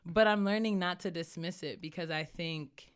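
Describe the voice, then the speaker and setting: A woman's voice: on the low side, moderate pace, clean tone. A young woman from New York City, a guest talking earnestly in a casual interview, often slipping in quoted asides. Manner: earnest, animated, speaks in quoted asides and filler "like".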